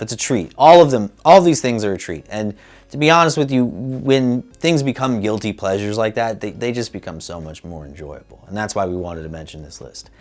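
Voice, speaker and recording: A man in his thirties with a fairly low voice co-hosts a casual movie discussion. He is playful and emphatic in a conversational way. Music plays faintly behind him. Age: 30s. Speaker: co-host. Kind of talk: casual chat reviewing movies. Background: background music.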